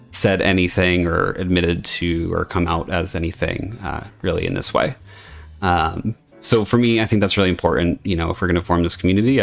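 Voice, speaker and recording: A man's voice: deep voice, creaky. A man streaming from home, chatting earnestly and rambling, with frequent hesitations. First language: English. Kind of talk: casual debut stream chat.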